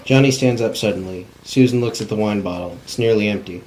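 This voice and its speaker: A man's voice: fairly low pitch, brisk, a little mellow, poor audio. A man narrating stage directions in a plain, unpolished cold reading of a script. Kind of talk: scripted narration at a table read. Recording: faint background noise.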